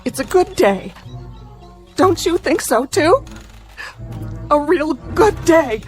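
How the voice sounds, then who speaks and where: A woman's voice: high voice, big pitch swings, slow, music in the background, full character voice. An actress playing an anxious small-town mother in a radio drama, folksy and insistent, forcing cheerfulness out of fear. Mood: anxious, pleading. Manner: folksy, insistent, repeating reassurances.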